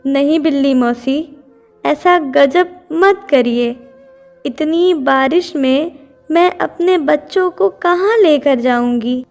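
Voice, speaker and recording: A woman's voice: sits high, slow pace, a little mellow, faint music behind. A woman voicing a distressed mother bird in a children's cartoon story, pleading in a plaintive way. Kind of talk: Hindi cartoon story dubbing.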